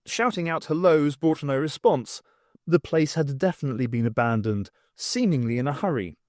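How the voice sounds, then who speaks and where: A man reading scripted fiction as an expressive audiobook narrator. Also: fairly high pitch, moderate pace, no echo, clean studio sound.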